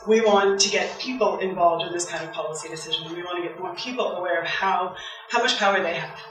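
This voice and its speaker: A soft-voiced woman from Canada, a waste campaigner giving a public talk, chatty and eager. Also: on the low side, fast. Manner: chatty, eager, laughs often. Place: event hall. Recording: very echoey hall from far off.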